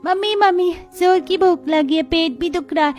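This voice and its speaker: A woman's voice: very high voice, normal speed, a little mellow. A woman voicing a small, hungry, pleading child in a cartoon story, with faint music behind. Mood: whiny, pleading.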